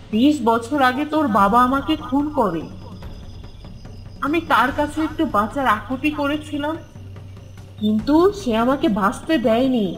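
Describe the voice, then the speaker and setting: A woman's voice: fairly high pitch, lightly acted, low-quality recording. A woman playing the vengeful, sorrowful ghost of a murdered young bride in a horror cartoon, speaking with dramatic menace. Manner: slow, menacing, dramatic delivery.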